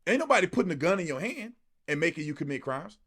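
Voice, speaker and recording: An American man speaks in a high voice that swings widely in pitch. He delivers opinionated video commentary emphatically, in colloquial phrasing with rhetorical challenges. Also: very animated, fast talker, fairly bright tone, husky. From United States. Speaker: online political commentator. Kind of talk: impassioned opinionated video monologue.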